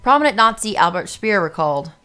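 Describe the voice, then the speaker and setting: A woman's voice: medium pitch, very animated, brisk, pushed and bright, smooth. A young woman hosting a scripted film-analysis video essay, narrating with wry, sarcastic asides.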